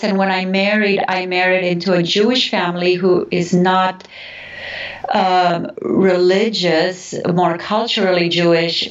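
Woman from romania on an interview, lowish voice, earnest, kinda searching for words, roomy echo and muffled. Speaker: interview guest, author. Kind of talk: reflective spiritual interview answers. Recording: echoey, distant, dull sound.

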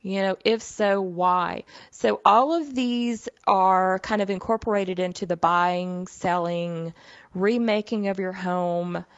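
A middle-aged woman with a Southern US twang talks casually and folksily, often dropping in "you know." Her pitch sits in a middling range. The recording is dry and a little dull, with no room sound. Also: fairly even intonation, slow, clean tone, dry recording. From southern United States. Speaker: podcast host, psychic medium. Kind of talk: casual solo monologue.

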